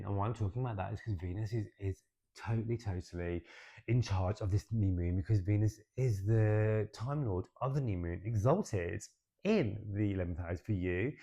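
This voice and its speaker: A young man giving a casual, expressive tarot and astrology reading, chatty and swearing now and then, with "right?" tacked onto his points. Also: lowish voice, lo-fi sound. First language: English.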